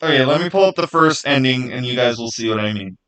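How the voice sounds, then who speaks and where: A man hosts a casual livestream in a mid-pitched voice. He talks off the cuff and somewhat haltingly, often turning to his viewers with rhetorical questions. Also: animated, fairly bright tone. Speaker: livestream host.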